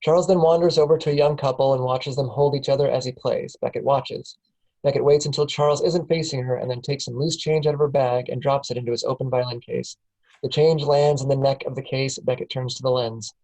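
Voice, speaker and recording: A man reading the scene directions aloud in a steady, plain way at a film table read. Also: mid-range voice, a little fast, poor audio.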